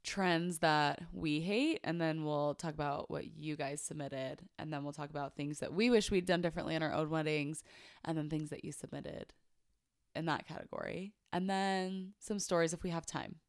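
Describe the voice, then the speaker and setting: A woman's voice: low-pitched, moderate pace, smooth, no room sound, clean studio sound. A young woman co-hosting a casual podcast, stuffy and congested, chatty and opinionated, laughing often. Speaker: podcast co-host. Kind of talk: casual chat.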